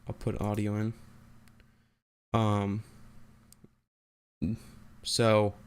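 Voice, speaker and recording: Low-voiced man giving a casual tutorial. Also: smooth. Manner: halting, thinking aloud. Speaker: host of a software tutorial. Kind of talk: casual unscripted screen-recorded tutorial.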